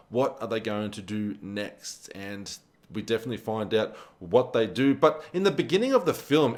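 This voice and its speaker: Male vlog-style movie reviewer, average pitch, chatty conversational delivery with repeated words for emphasis. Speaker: film reviewer. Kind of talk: casual spoken movie review to camera.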